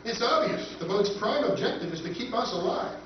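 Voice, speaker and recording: A man playing a skeptical, analytical spaceman with a measured, pedantic manner in a live 1950s-style radio play. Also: high-pitched, lively intonation, a little hiss or hum. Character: reasoning, skeptical male spaceman, calm analytical sidekick. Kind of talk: live recreation of 1950s radio science fiction. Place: convention performance room, picked up from afar. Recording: echoey convention hall, distant muffled recording.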